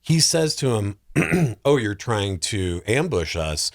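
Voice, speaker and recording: A man's voice: lowish voice, sing-song, slow, vocal fry. A man joking and wisecracking through a casual film discussion.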